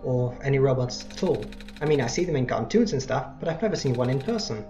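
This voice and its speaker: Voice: medium pitch, expressive, quick pace, mellow, soft background music, natural voice. A streamer voicing a curious, chatty, innocent child hero from a video game. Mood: curious, playful.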